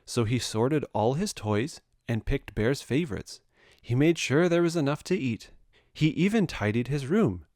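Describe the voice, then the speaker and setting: A man reading a children's story aloud with expressive narration. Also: medium pitch, unhurried, no room sound, clean studio sound. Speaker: storybook reader.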